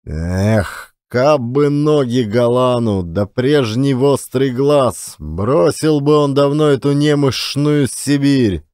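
A man's voice: medium pitch, slow pace, hardly any pauses, darkish tone, clean tone, no echo. Dry-sounding male audiobook narrator. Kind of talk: expressive literary storytelling.

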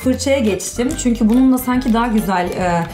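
A woman's voice: a little high, brisk, warm tone, roomy sound, soft background music. A young woman from Germany talking casually and self-deprecatingly as a guest on a makeup tutorial. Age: young adult. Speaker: guest makeup video creator. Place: small indoor studio set, seated.

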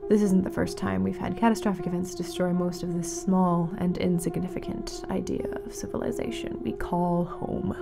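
A woman playing a grave, mournful radio announcer in a fiction podcast, speaking solemnly and dramatically with weighted pauses. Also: on the low side, level tone, vocal fry. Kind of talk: scripted fiction-podcast narration as a community radio broadcast.

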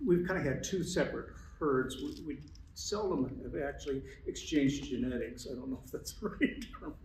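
An older man on a panel, telling relaxed stories with frequent chuckles. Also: mid-range voice, moderate pace, a little hiss or hum. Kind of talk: panel discussion. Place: large meeting room, indoors. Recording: distant echoey room sound.

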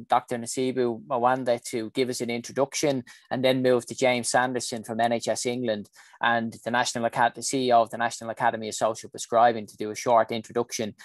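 A man in his thirties hosting an online panel on a video call, brisk and welcoming, with some fillers. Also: mid-range voice, no room sound. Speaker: session host. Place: home room indoors. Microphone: video call with earphones.

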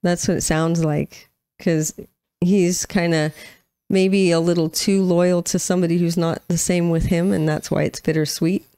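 A woman's voice: fairly low pitch, steady tone, normal speed, warm tone, clear, resonant tone, dry recording. A woman, chatting casually as co-host of a music reaction channel. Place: small room at home, indoors. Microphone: close boom-arm microphone.

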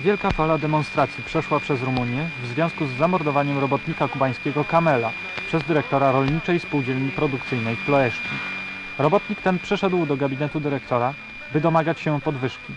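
A man playing an earnest radio announcer, reading the news in a formal, measured, serious manner. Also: fairly high pitch, lightly acted. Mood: solemn, formal. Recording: very noisy, humming shortwave radio broadcast.

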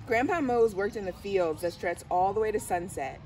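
A middle-aged woman reads a children's picture book aloud. Her voice sits at an average pitch. It is picked up outdoors from a short distance, with light ambient noise behind her. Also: animated, moderate pace, darkish tone. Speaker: school diversity director reading aloud. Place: outdoors on a garden bench under trees. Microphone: camera a few steps away. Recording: distant camera mic, outdoor background noise.

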